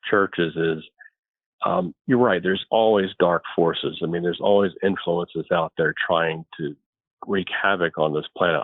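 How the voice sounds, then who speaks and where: Deep-voiced man on a tinny call line. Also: dry recording. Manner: thoughtful, repeats words as he starts a thought. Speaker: guest. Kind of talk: relaxed conversational interview. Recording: tinny phone-like line, dry.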